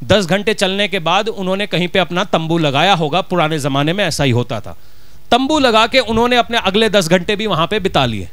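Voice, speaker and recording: High-voiced Punjabi pastor preaching. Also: male voice, lively intonation, fairly quick, a bit bright, dry recording. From Punjab, India. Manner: emphatic preaching with rhetorical questions and Punjabi words. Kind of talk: sermon. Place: church stage, indoors. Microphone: handheld mic.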